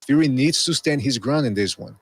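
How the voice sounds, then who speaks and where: A man's voice: medium pitch, a little fast, husky, dry recording. A man co-hosting a boxing podcast, talking in a rambling casual way with many restarts. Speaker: podcast co-host.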